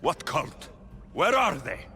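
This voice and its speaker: An actor playing a determined young Spartan mercenary in a video game, blunt and questioning. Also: male voice, a little high, wildly swooping pitch, takes it slowly, sharp tone, slight background noise, full character voice. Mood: angry. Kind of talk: dramatic video game acting.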